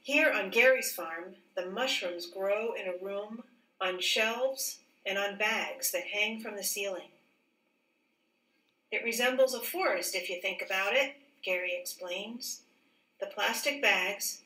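A woman teacher reading a picture book aloud in a careful, expressive storybook manner. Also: medium pitch, unhurried. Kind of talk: read-aloud storybook narration. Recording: roomy echo, distant mic.

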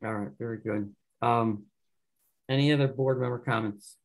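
A man chairing a town board meeting on a video call, relaxed and asking follow-up questions. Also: mid-range voice, moderate pace, gravelly, rough recording. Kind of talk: casual meeting discussion.

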